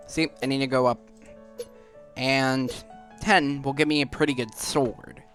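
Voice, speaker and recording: A man giving casual gameplay commentary, thinking aloud in an offhand, halting way. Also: medium pitch, steady tone, fairly bright tone, soft background music. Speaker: gaming video host.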